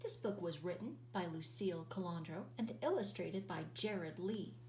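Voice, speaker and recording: A woman teacher reading a children's picture book aloud in an expressive, sing-song storytelling voice, drawing out words. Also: fairly low pitch, moderate pace, roomy sound, far from the mic, a little hiss or hum, rough recording.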